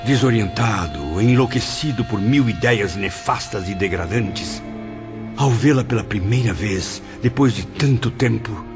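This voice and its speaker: A man's voice: fairly low pitch, animated, unhurried. A man playing a bitter, vengeful man who confesses his obsessive past in a dramatized audiobook, with dramatic, rancorous delivery. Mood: anguished longing. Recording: dull lo-fi audio under loud music. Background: music.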